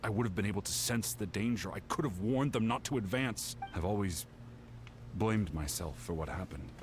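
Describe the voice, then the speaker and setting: A man voicing a somber, earnest warrior in a video game, measured and weighed down by guilt. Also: lowish voice, a little hiss or hum, natural voice. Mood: regretful, resolute. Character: somber, guilt-ridden male warrior determined to save a lost comrade. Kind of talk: scripted video game voice acting.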